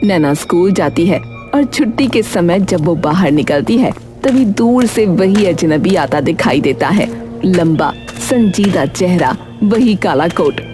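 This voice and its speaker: A woman's voice: fairly low pitch, lively intonation, measured pace, music in the background. A woman narrating a scripted children's story in a dramatic storytelling style.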